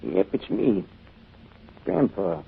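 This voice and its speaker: An actor playing a kindly, patient old grandfather's ghost, folksy and unhurried, in an old-time radio drama. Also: sits low, huge pitch swings, a little hiss or hum, full character voice. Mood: gentle, folksy. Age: older. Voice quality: gravelly, husky. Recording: muffled old radio recording.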